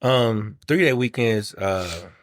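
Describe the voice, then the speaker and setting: A man's voice: on the low side, animated, slow, no echo, studio quality. An American man talking in a colloquial, teasing way as a podcast co-host chatting casually with his wife.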